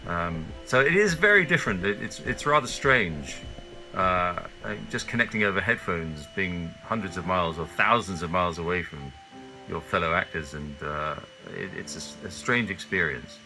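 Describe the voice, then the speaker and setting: A man talking casually in an interview, with a hesitant, halting and wry way of speaking, recorded in a small homemade duvet booth. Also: low-pitched, animated, over music. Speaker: actor.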